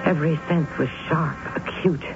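An actress playing an anxious woman who narrates her own tense story in an old radio drama, hushed and brooding. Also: low-pitched, normal speed, vocal fry. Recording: tinny 1970s radio broadcast with loud music.

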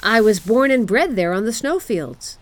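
A woman's voice: a little high, animated, normal speed, pitched above the performer's own voice, close to an everyday voice. Woman as a stately, proud storybook reindeer. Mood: boastful. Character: proud male reindeer, knowing native of the snowfields.

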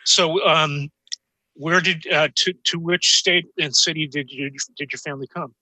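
A male interviewer with a strikingly bright, somewhat high voice and a dry, close sound. He hosts casually, phrasing questions haltingly and adding brief, interested interjections. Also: fairly even intonation, no room sound, poor audio. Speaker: interview host.

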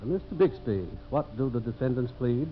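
An American man playing a composed frontier judge in a 1950s radio drama, measured and official. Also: mid-range voice, expressive, lightly acted. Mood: stern, official. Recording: muffled, hissy vintage radio recording.

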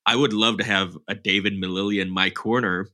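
A man's voice: fairly low pitch, fairly even intonation, fairly quick, sharp tone. A man co-hosting a podcast, enthusiastic and upbeat as he welcomes guests. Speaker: podcast co-host. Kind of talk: podcast interview.

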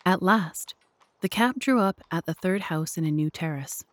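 A woman's voice: fairly low pitch, lively intonation, moderate pace, no echo. A woman narrator and host reading scripted narration for an audio drama.

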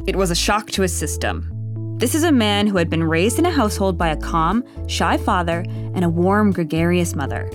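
Guitar music plays clearly under an actress as a female storyteller. She reads scripted narration in a mid-pitched, expressive voice, composed and wry. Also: lightly acted.

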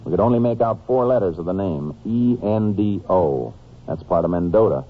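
Steady, shrewd American Texas Ranger: low voice, clipped folksy delivery, vintage radio drama, narrow noisy sound. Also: male voice, moderate pace, husky, heavily acted. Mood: businesslike. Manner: clipped, folksy Western lawman talk. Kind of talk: old-time radio drama acting with first-person narration. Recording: noisy, narrow-band old radio recording.

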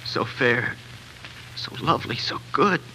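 An actor playing an anguished, doubting king, halting and pained, in a 1940s radio drama. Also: mid-range voice, lively intonation, close to an everyday voice. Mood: anguished, disbelieving. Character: anguished, doubting king torn over his wife. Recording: noisy old 1940s radio recording.

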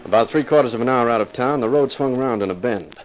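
An actor playing a wisecracking, cynical private detective in a 1950s radio drama, with a wry, flippant delivery. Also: male voice, mid-range voice, fast, a little hiss or hum, lightly acted, poor audio. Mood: brooding narration.

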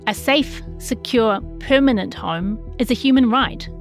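A woman's voice: fairly high pitch, measured pace, soft background music. New Zealand woman narrating a news feature. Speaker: journalist narrating a feature story. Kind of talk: scripted narration of a written news feature, reading quotes.

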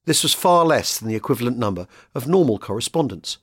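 A man reads scripted history narration in a slightly husky, mid-pitched voice. The recording is close and dry. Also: expressive, fast, fairly bright tone, dry recording.

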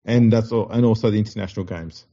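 A man's voice: fairly low pitch, fast talker, dark tone, dull audio. A man with an Australian accent hosting a casual sports podcast, teasing his co-host and reeling off stats. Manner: joking, teasing, reels off stats.

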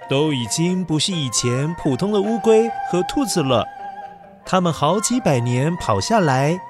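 A man narrates a children's story in an expressive, dramatic way, with exclamations and sound words. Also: sits high. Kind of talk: animated scripted children's storytelling. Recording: music behind the voice. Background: music.

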